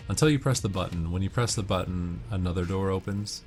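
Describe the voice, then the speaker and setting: A man doing casual video game walkthrough commentary, explaining tips in a measured way. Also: deep voice, music in the background. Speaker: gamer giving walkthrough commentary.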